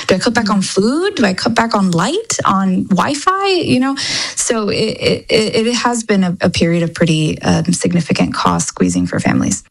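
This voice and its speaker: A woman's voice: mid-range voice, gravelly. A woman reporter giving conversational, explanatory answers in a radio interview, often checking for agreement. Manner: conversational, seeks agreement with "right?". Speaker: economy reporter being interviewed.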